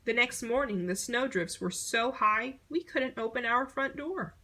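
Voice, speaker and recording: A woman reading a children's picture book aloud in an expressive storytelling manner. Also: fairly high pitch, a little slow, a little hiss or hum. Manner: expressive storytelling, acting out short exclamations.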